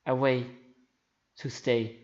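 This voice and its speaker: A game player voicing a thoughtful young hero of a fantasy adventure in a hushed, earnest, reflective manner. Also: mid-range voice, level tone, takes it slowly, muffled recording, pitched below the performer's own voice, natural voice. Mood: wistful, resolute.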